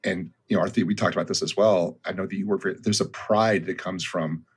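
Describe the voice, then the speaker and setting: A man in his fifties speaks as a guest on a business podcast, pausing often to collect his thoughts. His voice sits very low and carries a husky, slightly breathy grain. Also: fairly even intonation. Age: 50s. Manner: thoughtful, with frequent ums and restarts. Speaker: guest, consulting firm founder. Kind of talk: conversational podcast interview.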